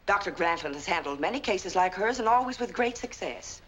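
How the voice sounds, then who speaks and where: Efficient, chatty nurse, female actor, 1940s film. Rapid, polite delivery with slight soundtrack noise. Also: medium pitch, lively intonation, a bit bright, lightly acted, low-quality recording. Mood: soothing, courteous. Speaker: actress.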